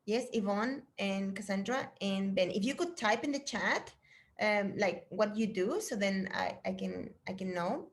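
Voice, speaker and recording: A woman's voice: medium pitch, unhurried. A middle-aged woman from Chile, a fitness trainer, chatting casually and encouragingly to her trainees on a video call. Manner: chatty and encouraging, with fillers and restarts.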